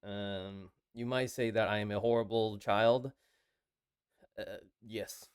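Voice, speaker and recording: A man from Taiwan co-hosting a podcast, telling stories casually and haltingly, often circling back to rephrase. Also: on the low side, steady tone, clean tone, no room sound, studio quality. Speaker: podcast co-host. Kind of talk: casual conversational storytelling.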